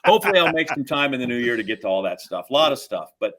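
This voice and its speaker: A man's voice: medium pitch, very animated, very fast, background noise. A man, chatting casually and telling rambling, folksy anecdotes as a podcast co-host. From Wisconsin, United States.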